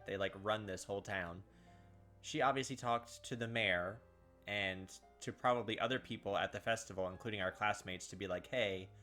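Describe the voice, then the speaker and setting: A man's voice: fairly low pitch, pushed and bright. A man reading visual novel text aloud as a let's-play host, dropping casual, slangy asides along the way.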